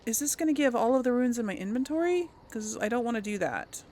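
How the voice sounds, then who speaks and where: A woman doing casual let's play commentary, musing aloud in a halting way. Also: fairly high pitch, animated, darkish tone, slight background noise. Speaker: gaming channel host.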